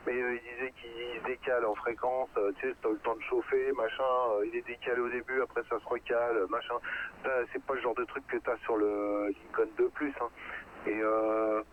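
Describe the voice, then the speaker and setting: A man's voice: mid-range voice, level tone, normal speed. A man chatting informally about technical matters in colloquial speech. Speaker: radio hobbyist taking part in a group conversation. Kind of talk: casual technical chat. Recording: tinny radio-like line with background noise.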